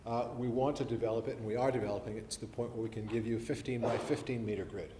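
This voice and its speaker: A man's voice: mid-range voice, steady tone, quick pace. Chatty man lecturing in a hall. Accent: mixed, not typically New Zealand. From New Zealand. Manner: chatty and self-deprecating, many ums and restarts. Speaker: forestry professor giving a talk. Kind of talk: live presentation to an audience. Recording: live hall recording with reverb and faint hiss.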